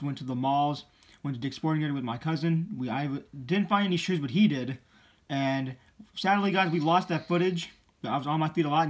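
Chatty teen guy showing off sneakers. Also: a little high. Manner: chatty, upbeat, rambling. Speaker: sneaker channel host. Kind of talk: casual vlog show-and-tell. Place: indoor room, camera on the floor.

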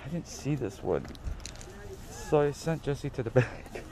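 A man's voice: medium pitch, lively intonation, unhurried. A man, talking casually to viewers as he walks through an outdoor flea market and filming on a phone. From Guam. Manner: chatty, self-amused, addresses viewers as peeps. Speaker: vlogger. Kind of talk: casual walk-and-talk vlog. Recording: outdoor market with loud music. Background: music playing.

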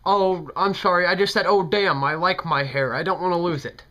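A man's voice: high voice, fairly quick, sharp tone. A young American man making a prank phone call as a polite, hesitant, folksy older customer. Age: young adult. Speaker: prank caller. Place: small bedroom, indoors. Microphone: camera at close range, talking into a speakerphone held in hand.

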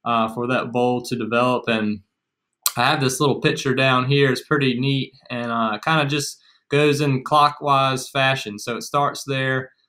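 Young American male, casual agricultural educator, bright forward voice, mid pitch. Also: steady tone, normal speed. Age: young adult. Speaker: agriculture extension agent, co-presenter. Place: small room at home, indoors.

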